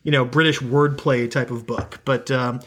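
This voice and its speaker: A man's voice: mid-range voice, fairly even intonation, moderate pace, fairly bright tone, slightly rough. A man chatting as a podcast guest, rambling off on tangents with self-mocking humor. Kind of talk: casual podcast chat.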